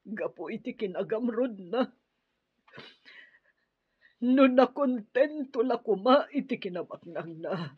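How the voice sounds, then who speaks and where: A woman's voice: a little high, lively intonation, takes it slowly, long pauses, slightly rough, dry recording, heavily acted. A Filipino woman acting in a radio drama as a grieving mother and widow. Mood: grieving, resigned. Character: grieving mother and new widow of a wicked man. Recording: dull, lo-fi radio drama audio.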